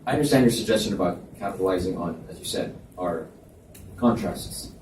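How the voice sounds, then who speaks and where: A reserved, analytical young college man played by a male narrator talks in a measured, slightly hesitant way. His voice is lowish and rather dark, heard across an echoey room. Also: close to an everyday voice. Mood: businesslike. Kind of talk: live dramatic reading of romance fiction dialogue. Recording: echoey room, distant mic, background noise.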